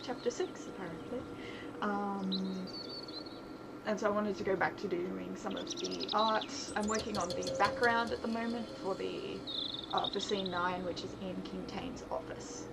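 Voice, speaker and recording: A woman's voice: mid-range voice, steady tone, unhurried. A woman chatting casually on a livestream, thinking aloud as she works and often trailing off mid-thought. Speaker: streamer, visual novel developer. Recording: clearly noisy livestream audio.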